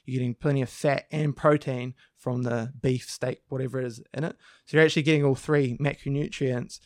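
A man co-hosting a podcast with a croaky voice, explaining things at length in a casual chat with frequent restarts. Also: medium pitch, fairly even intonation, a little fast, no echo, clean studio sound. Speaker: podcast co-host. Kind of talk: casual discussion.

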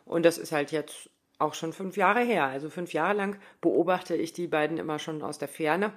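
A woman's voice: deep voice, normal speed. A woman in her thirties talking casually in a spoken diary, rambling with lots of fillers and asides. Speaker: running podcaster.

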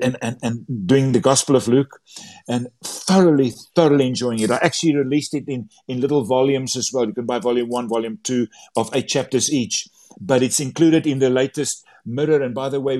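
Man, Afrikaans accent, non-native English, mid-pitched voice, enthusiastic, stumbling restarts, casual interview guest. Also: darkish tone. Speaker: author and Bible translator.

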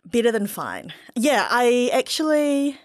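A woman's voice: a little high, very animated, slow pace, dry recording, studio quality. A woman hosting a casual podcast interview, friendly and laughing often. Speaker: podcast host.

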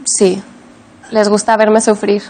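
A woman's voice: mid-range voice, completely flat delivery, slow, warm tone. A woman from Madrid, an actress being interviewed on a talk show, explaining things earnestly. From Madrid, Spain. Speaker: actress, guest. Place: TV studio with audience.

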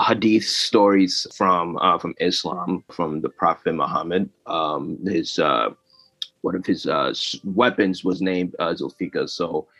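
A man in his twenties, a guest on a podcast, talking in a relaxed, hesitant way with lots of fillers. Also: low-pitched, dry recording, poor audio. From United States. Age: 20s. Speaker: animator and guest. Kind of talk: casual interview chat.

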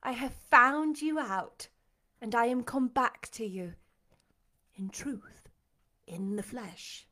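Woman acting a gentle, devoted young woman. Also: fairly high pitch, takes it slowly, long pauses, heavily acted. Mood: tender, joyful. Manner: soft, reassuring, respectful. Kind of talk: audiobook character acting.

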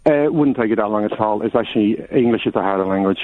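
Fast-talking Irish caller on a phone line. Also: male voice, lowish voice, no room sound. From Ireland. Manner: eager, explains in a rush. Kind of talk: phone-in call.

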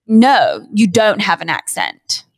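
A woman in her thirties hosts a casual podcast chat in a mixed accent that sounds British to some ears. She swears freely and fires off eager, nosy questions. Her voice sits at a middling pitch, recorded dry and close with no room sound. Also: sing-song, slow, no echo. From outside the US. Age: 30s. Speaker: podcast host.

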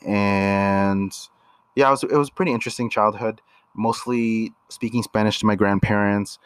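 A young man from Canada co-hosting a casual couple podcast, relaxed and full of filler words. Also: lowish voice, moderate pace, clean tone, no room sound. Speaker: podcast co-host.

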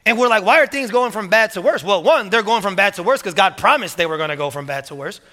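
A man preaching a sermon as pastor to his church, energetic and emphatic, often repeating words as he builds to strong points. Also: high-pitched, quick pace. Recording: long hall reverb.

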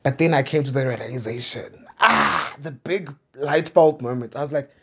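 A man's voice: medium pitch, moderate pace, a little mellow, breathy edge, lo-fi sound. A South African man in his twenties hosting a personal diary podcast, talking casually and candidly in a conversational way with playful asides. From South Africa, suburban Centurion. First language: Pedi. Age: 20s. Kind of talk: casual confessional monologue.

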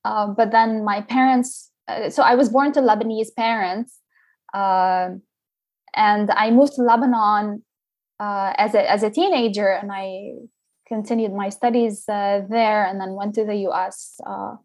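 A woman's voice: mid-range voice, steady tone, unhurried, darkish tone. Reflective woman from Liberia, thoughtful pace. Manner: reflective, many fillers and restarts. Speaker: guest scholar. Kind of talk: interview conversation.

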